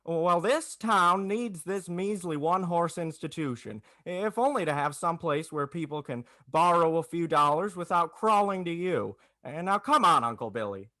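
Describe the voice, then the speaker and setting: An actor playing an eager, idealistic small-town young man in a 1940s radio play, with a halting, earnest delivery. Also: on the high side, animated, bright, forceful tone, dry recording. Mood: indignant.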